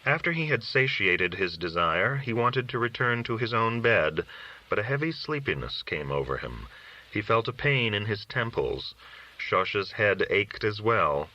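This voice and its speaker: A man narrating a literary story in a measured, solemn reading style. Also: fairly low pitch, very animated, sharp tone, muffled recording. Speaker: audiobook reader.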